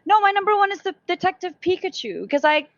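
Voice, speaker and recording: A woman's voice: extremely high pitch, very animated, quick pace, no room sound, muffled recording. A woman co-hosting a casual movie chat in an informal, enthusiastic, slangy way. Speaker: podcast livestream co-host.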